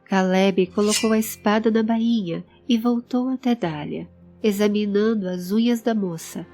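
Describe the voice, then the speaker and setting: A woman's voice: medium pitch, moderate pace, mellow. A woman narrating a scripted audio drama. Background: background music.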